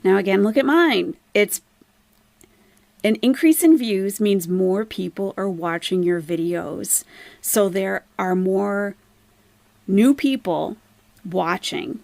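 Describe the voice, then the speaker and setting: A woman's voice: medium pitch, slow, gravelly, darkish tone, dry recording. A woman hosting a casual live commentary stream, explaining things emphatically to viewers and asking rhetorical questions. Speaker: livestream host, YouTube commentator.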